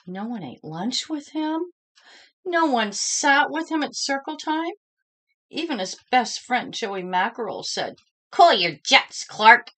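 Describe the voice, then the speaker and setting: A woman narrates a picture book for young children. Her reading is slow and dramatic, with extremely animated pitch and a slightly husky tone. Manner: dramatic, addresses young listeners with questions. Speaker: storybook reader. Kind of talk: expressive children's read-aloud narration.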